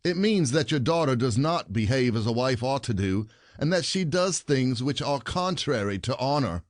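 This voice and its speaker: An actor playing a bitter, sarcastic husband, a rich commoner full of complaint, in a theatrical comedy audio play. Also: male voice, mid-range voice, expressive, moderate pace, no room sound, clean studio sound, close to an everyday voice.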